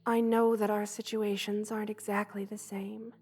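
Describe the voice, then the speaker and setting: A woman voicing a gentle, loving, motherly spider queen in a soft, hushed ASMR roleplay, tender and wistful, often slipping toward a whisper. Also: medium pitch, little pitch movement, moderate pace, breathy edge, faint music behind, lightly acted. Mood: earnest, reassuring.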